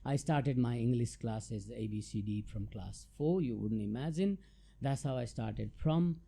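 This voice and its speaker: Gravelly dark-voiced Nepali man. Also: medium pitch, unhurried. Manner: thoughtful and hesitant, many fillers and restarts. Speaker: guest, chartered tax adviser. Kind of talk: relaxed interview answers.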